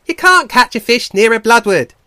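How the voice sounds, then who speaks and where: A man voicing a playful, boastful young male otter, excitable and bragging, in an animal storybook. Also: very high-pitched, moderate pace, no room sound, studio quality, voice pushed up, heavily acted. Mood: excited, boastful.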